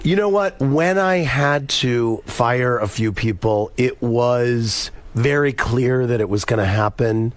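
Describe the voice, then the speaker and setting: A man's voice: medium pitch, takes it slowly, few pauses. A man being interviewed as a guest author, enthusiastic, laughing easily and protesting the host's teasing. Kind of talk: interview chat.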